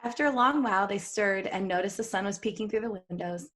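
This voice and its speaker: A woman's voice: mid-range voice, fast talker, background noise. Giggly woman reading aloud and bantering. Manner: giggly, jokey, often breaking off for asides. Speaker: podcast co-host. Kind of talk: reading fan fiction aloud with casual banter.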